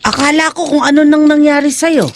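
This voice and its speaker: A woman's voice: high voice, little pitch movement, brisk, close to an everyday voice. A woman playing a worried, caring village woman in an audio drama, fretful and exclamatory. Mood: concerned.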